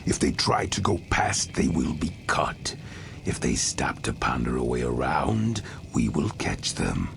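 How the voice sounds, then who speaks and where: An actor playing a menacing soldier in a video game, with a gravelly voice. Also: male voice, extremely low pitch, big pitch swings, a little slow, background noise, heavily acted. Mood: grim, menacing. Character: menacing male soldier hunting thieves. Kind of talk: video game cutscene acting.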